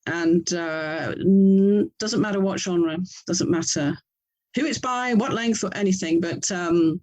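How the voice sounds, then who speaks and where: A woman's voice: lowish voice, slow, a little mellow, rough recording. A woman with an English accent speaking as an interview guest, effusive and enthusiastic in a conversational style. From England. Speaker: author and audio drama producer, interview guest.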